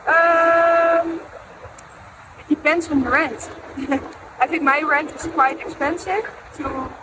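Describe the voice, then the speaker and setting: A young woman with a high voice. She answers in a relaxed, slightly hesitant way. She speaks into a handheld mic outdoors, with loud street noise all around her. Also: slow pace. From Netherlands. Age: young adult. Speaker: interviewee, intern. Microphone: handheld mic held toward her.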